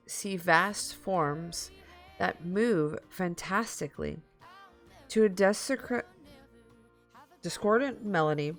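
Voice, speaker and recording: A woman streamer reading a story aloud in a dramatic, halting way, slipping into casual asides. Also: fairly low pitch. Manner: halting, repeats and corrects stumbled words.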